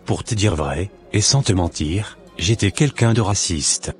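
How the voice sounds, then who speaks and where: Very deep-voiced, earnest father played by a man. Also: expressive, a little slow, faint music behind. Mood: earnest, moved. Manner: earnest, solemn. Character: repentant, earnest father, a humble churchgoing working man.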